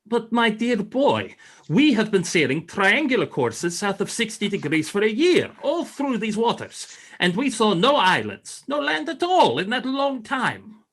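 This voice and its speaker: A man's voice: high voice, big pitch swings, measured pace, a bit bright, exaggerated voice. A man playing a courtly Russian frigate commander in a radio play, formal, gracious and grand in manner. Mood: earnest, puzzled. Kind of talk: radio play acting, 1940s style.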